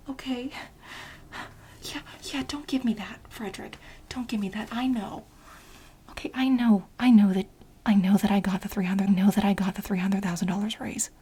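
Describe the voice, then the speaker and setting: A woman playing an obsessive, exasperated administrative assistant in a soft-spoken, whispery ASMR role play, insistent and repeating herself as she pleads with her boss. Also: mid-range voice, a little hiss or hum, lightly acted. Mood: urgent, exasperated, then defensive. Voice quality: breathy, whispery. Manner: insistent, repetitive, halting for emphasis.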